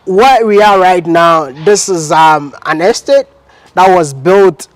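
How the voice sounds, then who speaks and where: A man's voice: high-pitched, slow pace, no room sound. A man from Ghana hosting an interview, playful and self-deprecating, hyping up his guest. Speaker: interviewer, video host. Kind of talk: enthusiastic street interview.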